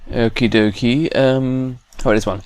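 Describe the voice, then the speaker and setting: British man, mid-pitched casual gamer. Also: steady tone, normal speed, a little mellow, clear, resonant tone. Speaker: gaming video host. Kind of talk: casual let's play commentary.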